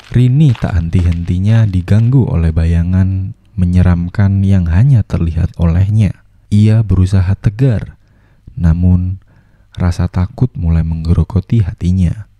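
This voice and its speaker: Cast a man as a grave horror-cartoon narrator, low and very dark. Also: no echo.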